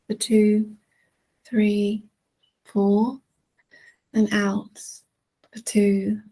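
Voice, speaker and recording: Soft mellow lady doing a guided meditation, really slow n soothing, pretty even tone. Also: medium pitch, rough recording. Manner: soothing, gentle, unhurried with long pauses. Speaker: guided relaxation instructor. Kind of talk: guided relaxation, meditation narration.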